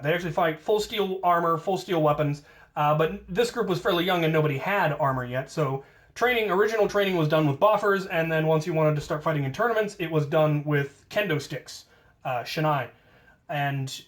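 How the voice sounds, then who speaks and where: An American man casually telling stories from his own life, with playful self-deprecation and drawn-out emphasis on key words. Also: fairly high pitch. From United States. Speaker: storyteller, host of a hobby channel. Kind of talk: casual autobiographical storytelling.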